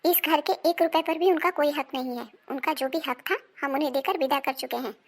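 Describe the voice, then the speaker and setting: A woman playing an emphatic, melodramatic married daughter-in-law in an animated family moral drama. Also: high voice, a little fast, lightly acted. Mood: indignant, defiant. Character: indignant married woman, sharp-tongued wife and daughter-in-law.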